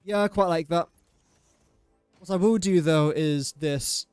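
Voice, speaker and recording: A young English man with a slightly high voice and a dry, close microphone sound. He chats casually through a live game stream, teasing himself and talking to the objects he places. Soft game music sits far in the background. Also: a little slow, clear, resonant tone, no echo. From England. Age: young adult. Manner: self-deprecating, talks to the objects he places. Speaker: gaming streamer. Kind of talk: casual live gameplay commentary.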